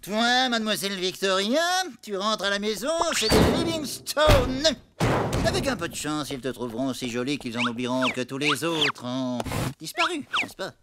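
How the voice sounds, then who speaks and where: A man's voice: high-pitched, sing-song, normal speed, very noisy. A man voicing a cowardly, fussy cartoon veterinarian, whiny and melodramatic, given to panicking. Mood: anxious, bossy. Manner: melodramatic, whiny, repeated tag questions. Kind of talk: French-language cartoon dub acting. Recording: loud cartoon music behind the voice.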